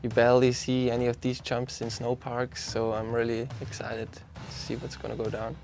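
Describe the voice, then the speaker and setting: A man's voice: medium pitch, monotone, moderate pace. A man who organizes the ski event, speaking enthusiastically in a documentary interview.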